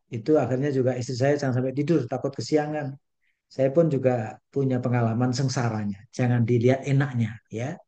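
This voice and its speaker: An older man lectures over a video call in a mellow, relaxed voice. He talks in a folksy way, tossing in English phrases and playful rhymes. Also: medium pitch. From Indonesia. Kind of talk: online lecture.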